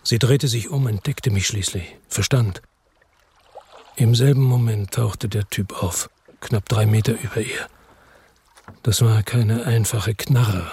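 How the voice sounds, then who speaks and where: An actor playing a weary hard-boiled man who narrates his own crime story in a radio drama, terse, brooding and husky. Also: lowish voice, slow pace, darkish tone, full character voice. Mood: grieving, subdued.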